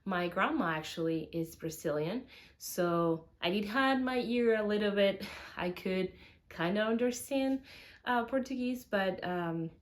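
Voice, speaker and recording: A woman's voice: medium pitch, measured pace. A young woman from Uruguay whose first language is Spanish talks to camera in English with a Uruguayan Spanish accent, hesitating with many fillers and restarts and laughing often. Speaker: cooking channel host. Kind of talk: casual talk to camera.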